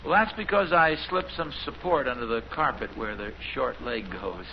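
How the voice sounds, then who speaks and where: A man's voice: mid-range voice, normal speed, crowd noise, natural voice. An actor playing a mild, flustered suburban husband and father in a 1950s radio sitcom, stammering and defensively proud. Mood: wry, defensive. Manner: stammering, hesitant. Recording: muffled, hissy 1950s radio recording.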